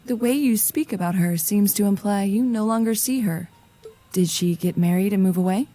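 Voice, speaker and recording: An actress playing a gentle, polite, sympathetic young woman in a video game, speaking carefully and courteously. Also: mid-range voice, moderate pace, faint music behind, natural voice. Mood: gentle concern.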